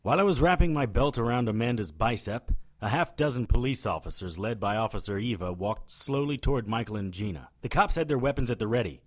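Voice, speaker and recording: A man's voice: medium pitch, lively intonation, a little fast, few pauses, close to an everyday voice. A man playing a wry, cocky witch hunter who narrates and speaks in an audio drama, dry and sardonic. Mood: grave narrating. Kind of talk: first-person audio drama narration and dialogue.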